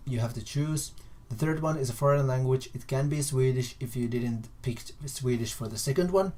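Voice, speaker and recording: A young man from Finland with a Finnish accent, a native Finnish speaker, explaining things casually in a halting way, repeating and rephrasing himself. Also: mid-range voice, a little mellow. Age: young adult. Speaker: vlogger. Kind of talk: casual informal explainer to camera.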